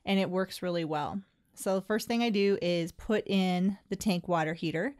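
A middle-aged woman who keeps horses, talking through her barn work in a plain, friendly, explanatory way. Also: on the low side. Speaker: horse owner vlogger. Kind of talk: casual how-to narration.

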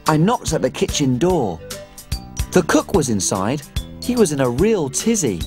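A performer voicing a tiny cheerful cook on a children's show, telling a story in an excitable way. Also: medium pitch, unhurried, soft background music. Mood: storytelling. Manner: bouncy, excitable.

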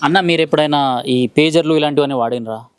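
A man's voice: a little high, fast, slight background noise. A man with a Telangana Telugu accent working as an interviewer, putting questions in a conversational way.

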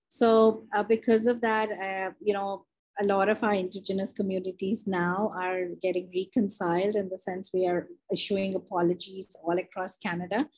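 A woman's voice: mid-range voice, a little mellow, rough recording. Indian-born woman giving a webinar talk. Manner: conversational, frequent fillers and self-corrections. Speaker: university librarian presenting a webinar. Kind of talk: webinar presentation. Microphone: video call.

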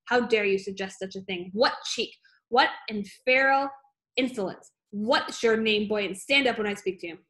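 A woman's voice: mid-range voice, moderate pace, a bit bright, natural voice. Woman voicing a barking, bullying tyrant headmistress. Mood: outraged. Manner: barking, bellowing, insulting. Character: huge tyrannical headmistress, bullying, child-hating, explosive.

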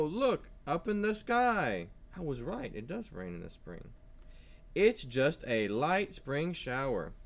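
Guy teacher doing a kids read-aloud, unhurried, really expressive up and down, asks the kids simple questions. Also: mid-range voice. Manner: animated storytelling with simple questions to children. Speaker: kindergarten teacher. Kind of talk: read-aloud lesson for young children.

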